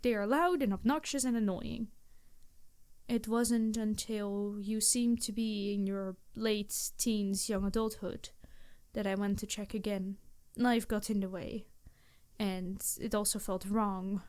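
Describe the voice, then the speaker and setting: Woman acting a slow-spoken, apologetic vampire lady. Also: medium pitch, fairly even intonation, darkish tone, close to an everyday voice. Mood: reflective, frank. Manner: formal, hesitant, earnest speech. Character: embarrassed, apologetic centuries-old female vampire soulmate. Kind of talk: ASMR roleplay monologue to the listener.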